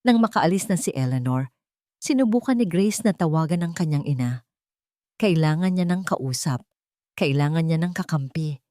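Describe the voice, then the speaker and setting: A female narrator tells a dramatic story from a script. Her voice is a little low for a woman, and the recording is close and dry, with hardly any room in it. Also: animated, moderate pace, dark tone, no room sound.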